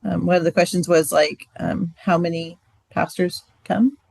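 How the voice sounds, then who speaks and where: Relaxed older woman, low dry voice. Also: moderate pace, creaky, no room sound. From Central California. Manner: casual, relaxed, filler-heavy. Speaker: marriage ministry director. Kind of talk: answering questions on a training call. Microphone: video call.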